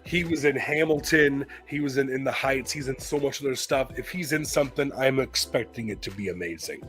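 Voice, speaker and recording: Forceful, bright man co-hosting a stream. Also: mid-range voice, brisk, faint music behind. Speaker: live stream co-host. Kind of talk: casual fan chat.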